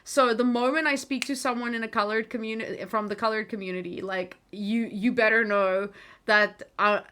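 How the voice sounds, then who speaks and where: Woman, American accent, Afrikaans first language; chatty livestream host, bright tone, wanders off topic. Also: fairly high pitch, steady tone, moderate pace, clear, resonant tone. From South Africa. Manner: rambling, easily sidetracked, chatty with frequent fillers. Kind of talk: casual livestream monologue.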